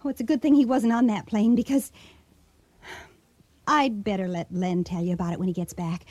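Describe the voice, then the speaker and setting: An actress playing a sweet, breezy, evasive young wife in a radio drama. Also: female voice, mid-range voice, steady tone, normal speed, a little mellow, dry recording, natural voice. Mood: nervously cheerful.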